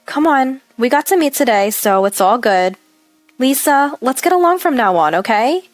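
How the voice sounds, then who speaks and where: A polite, peacemaking housewife in an audio story, acted by a woman narrator. Her manner is gentle and conciliatory, with lively intonation. The recording is dry, with faint music. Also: sits high, moderate pace, no echo, pitched above the performer's own voice. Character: polite, peacemaking older sister-in-law, a housewife.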